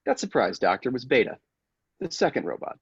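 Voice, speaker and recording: A man playing a megalomaniac robot who passes for human, a coldly menacing villain speaking formally and deliberately in a classic radio play. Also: mid-range voice, very animated, gravelly, husky, no echo, highs cut off, full character voice. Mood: gloating, menacing.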